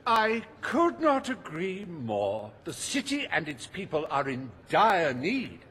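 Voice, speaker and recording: An actor voicing a foppish, greedy, scheming industrialist in a video game, pompous and drawling, with oily contempt for the poor. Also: male voice, a little high, wildly swooping pitch, takes it slowly, pushed and bright, husky, big-room sound, heavily acted. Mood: smug, persuasive. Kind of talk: theatrical video game dialogue.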